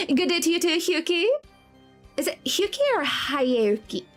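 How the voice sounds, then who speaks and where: A very high, lively Scottish woman's voice, streaming from a home setup with faint music playing behind her. She talks in a bubbly, giggly way, swooping up and down as she thanks each viewer. The pitch sits far above the usual female range. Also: slow. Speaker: VTuber streamer. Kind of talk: live-stream chat with viewers.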